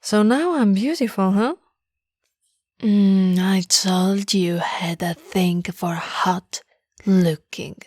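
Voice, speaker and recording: Woman acting a slow, teasing nerdy monster girlfriend. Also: mid-range voice, a little mellow, no echo, clean studio sound. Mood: playful, mock spooky. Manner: playful, teasing, often dropping into breathy whispers. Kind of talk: intimate roleplay audio acting.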